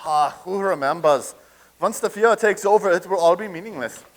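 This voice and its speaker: A man's voice: high-pitched, normal speed, natural voice. A man in a staged reading playing a pompous, blustering loyalist of a fascist dictator who stammers when challenged.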